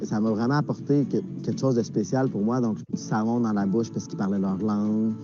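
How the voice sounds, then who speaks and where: Earnest man over guitar music, low voice. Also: steady tone, a little fast. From Nunavik, Quebec. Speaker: expedition participant. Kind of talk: documentary testimony. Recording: dull lo-fi video clip with guitar music.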